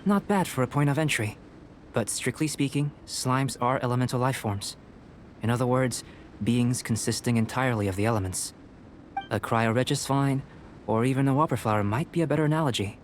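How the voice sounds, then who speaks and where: A man voicing a calm, reserved young alchemist scholar in an anime game, speaking in a measured, precise way. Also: medium pitch, a little hiss or hum, natural voice. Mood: composed, instructive. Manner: measured, precise, composed, clinical.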